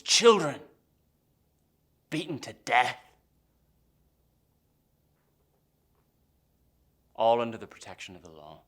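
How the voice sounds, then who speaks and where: Guy acting as a bitter pirate mutineer, theatrical, brooding, goes from hushed threats to big fiery speeches. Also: fairly low pitch. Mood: grim indignation. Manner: brooding, swinging from hushed menace to impassioned outbursts. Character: embittered, defiant English pirate mutineer, a former pressed sailor. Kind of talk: theatrical dramatic monologue.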